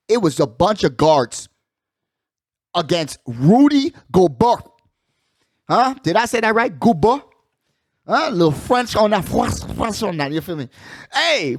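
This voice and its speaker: A man's voice: sits high, no room sound, clean studio sound. A man hosting a sports show with casual, slangy commentary, hyping his points and repeating phrases for emphasis. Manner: slangy, hype-man delivery with frequent check-in phrases.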